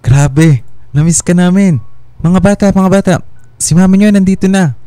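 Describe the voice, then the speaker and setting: Man acting mellow, very dark-voiced husband. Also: high-pitched, normal speed, smooth, no echo, natural voice. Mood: surprised, glad. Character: affectionate husband and father, mildly defensive. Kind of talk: Filipino radio drama acting. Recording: music behind the voice.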